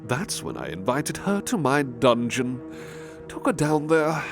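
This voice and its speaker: A man playing a refined, sadistic serial-killer nobleman in a horror audio drama, gloating theatrically and savouring his words with breathy drops. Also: medium pitch, sing-song, a little slow. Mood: smug, bored disdain. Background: music under the voice.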